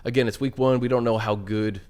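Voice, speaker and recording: A man's voice: medium pitch, steady tone, fairly quick, darkish tone. A man hosting a sports talk show, chatting casually and putting questions to his guests.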